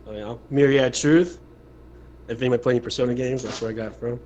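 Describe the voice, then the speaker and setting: A man's voice: medium pitch, steady tone, fast, a little mellow. A man chatting casually as a player in an online tabletop game. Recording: dull lo-fi mic, faint noise.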